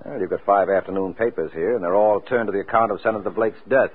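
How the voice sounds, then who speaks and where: A man's voice: fairly low pitch, steady tone, quick pace, breathy edge. A man playing a cool, wisecracking, flirtatious private detective in a 1940s radio drama, with a breezy, sardonic, teasing way of talking. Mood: sardonic, probing. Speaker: radio drama lead actor. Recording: tinny, band-limited old radio recording.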